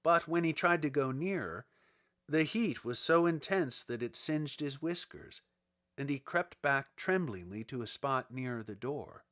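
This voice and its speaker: Slow, animated male storybook narrator. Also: medium pitch. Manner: expressive, deliberate. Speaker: audiobook narrator.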